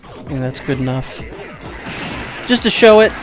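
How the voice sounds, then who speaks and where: A man giving casual, rambling let's play commentary, second-guessing himself out loud and swearing offhandedly. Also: fairly high pitch, sing-song, measured pace, music in the background.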